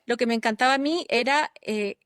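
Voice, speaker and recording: A middle-aged woman from Guatemala, a guest architect and urbanist talking through her career in a relaxed interview, thoughtful and often pausing on fillers. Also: on the high side, smooth, no echo, studio quality. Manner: reflective, with many fillers and restarts.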